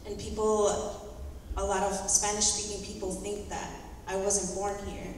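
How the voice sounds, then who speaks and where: A woman giving a heartfelt personal testimony in a church, speaking in short phrases and pausing after each one for an interpreter. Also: mid-range voice, flat delivery, a little mellow, a little hiss or hum. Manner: earnest, heartfelt. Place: large church sanctuary. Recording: echoey hall from far off.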